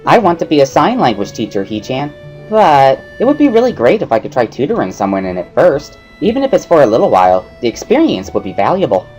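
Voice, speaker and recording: A man voicing a cheerful, excitable teenage schoolgirl in a gushing, sing-song way for a visual novel reading. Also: medium pitch, fast talker, faint music behind, pitched above the performer's own voice. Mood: earnest, perky.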